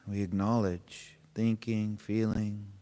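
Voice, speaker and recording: Guy leading a meditation, lowish voice, really slow, lots of pauses, kinda informal and gentle. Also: little pitch movement. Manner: unhurried, pausing between short phrases, gently informal. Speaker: meditation teacher. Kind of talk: guided meditation, spoken softly to a group.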